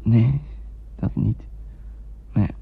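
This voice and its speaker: An actor playing a dry-witted, jealous man in intimate radio drama dialogue, with a gravelly, husky voice. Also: fairly low pitch, extremely slow, full character voice. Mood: wry, jealous. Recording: thin, phone-like old radio recording.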